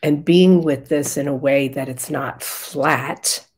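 An older woman with a raspy voice, a spiritual guide leading a soft, meditative session with chanting, heard over a video call from a room at home. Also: low voice. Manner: slow, soft and meditative, with pauses.

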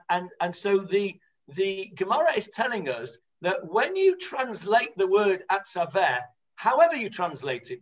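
A man's voice: high voice, fairly bright tone. An older man leading an online Talmud study class, explaining with many fillers and restarts. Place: home room, on a video call. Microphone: computer mic on a video call. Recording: dull lo-fi video call audio.

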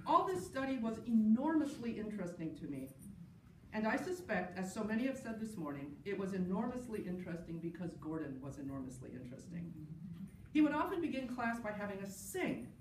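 A woman's voice: medium pitch, very animated, a little fast. A middle-aged woman gives a personal tribute talk at an academic conference, partly from notes, in a wry, anecdotal way with amused asides. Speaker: panel speaker, New Testament scholar. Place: large indoor conference session room. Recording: roomy, distant mic, clear background noise.

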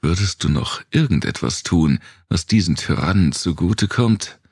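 A man's voice: low voice, expressive, measured pace, husky, dry recording, clean studio sound, exaggerated voice. A man voicing a tough, wary woman survivor with a blunt, explanatory manner in an audiobook. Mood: insistent.

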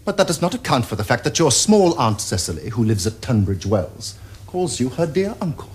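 An actor playing a witty, teasing young gentleman with an upper-class English accent, in a theatrical period comedy. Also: mid-range voice, big pitch swings, brisk, lightly acted. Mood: amused, probing. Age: young adult. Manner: theatrical, epigrammatic, crisp upper-class phrasing. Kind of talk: period stage comedy acting. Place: furnished studio drawing-room set.

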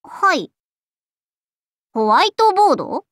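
A woman's voice: very high-pitched, big pitch swings, slow, fairly bright tone, no echo, studio quality, full character voice. A woman voicing a cheerful, scatterbrained androgynous young male knight in an anime game, playful and impulsive. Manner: bubbly, impulsive, playful exclamations. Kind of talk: anime game character acting.

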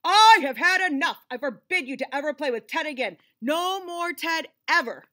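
A woman plays an exasperated storybook dad. He scolds emphatically and sternly, his pitch jumping as he lays down the law. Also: expressive, normal speed, fairly bright tone. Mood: furious. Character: stern exasperated father of a young boy.

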